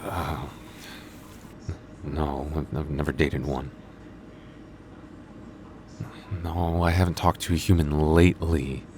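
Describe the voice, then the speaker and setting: A man's voice: a bass voice, steady tone, measured pace, noisy background. A man playing a cocky, moody male vampire coworker in an intimate ASMR roleplay, teasing in a dry, flirty way. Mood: defensive, smug. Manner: smug, flirty, dry teasing with sighs and chuckles. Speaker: voice actor in audio roleplay.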